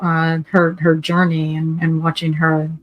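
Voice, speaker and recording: A woman's voice: low-pitched, completely flat delivery, a little slow, muffled recording. A woman talking on a podcast panel in a casual, earnest and thoughtful way. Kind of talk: casual review chat.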